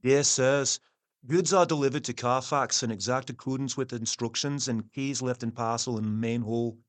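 An actor playing a brisk, formal Victorian clerk reading out a business letter in an audio drama. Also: male voice, medium pitch, steady tone, lightly acted. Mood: businesslike. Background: music.

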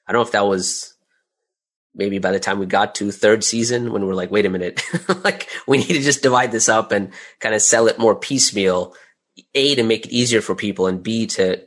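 A man with a fairly low voice answers questions in an interview. He talks casually and candidly, in a conversational, anecdotal style. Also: fairly bright tone. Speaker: interview guest, audio drama creator.

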